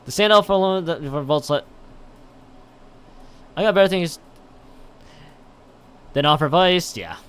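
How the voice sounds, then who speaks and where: A man's voice: fairly high pitch, measured pace, pauses often, fairly bright tone, clear, resonant tone. A man on a live game stream, reading a visual novel aloud with stumbles and exasperated asides. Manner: stumbles and repeats words while reading.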